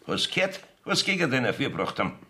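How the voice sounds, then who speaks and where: An actor playing a blustering, shifty village judge in a Bavarian dialect radio comedy, gruff and evasive, swinging from wheedling to barking, with a gravelly voice. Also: male voice, medium pitch, very animated, fast talker, bright, forceful tone. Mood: stern, badgering. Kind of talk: German dialect radio play acting.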